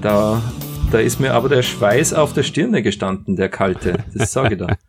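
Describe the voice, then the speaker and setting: A man's voice: on the low side, expressive, normal speed, faint music behind. A man with a Bavarian-Austrian accent co-hosting a podcast, chatting casually in folksy, repetitive phrasing. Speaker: podcast co-host. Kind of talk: casual chat.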